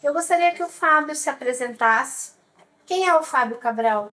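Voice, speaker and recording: A woman's voice: sits high, moderate pace, sharp tone. A woman with a Brazilian accent hosting a library talk, casually introducing the event and putting questions to a guest. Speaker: library host, interviewer.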